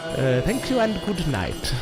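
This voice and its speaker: A man playing a bitter, animated washed-up stage showman. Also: a little high, a little slow, over music, exaggerated voice. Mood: showman flourish. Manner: theatrical, bitter. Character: bitter washed-up male stage entertainer, foul-mouthed and menacing. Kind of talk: audio drama acting.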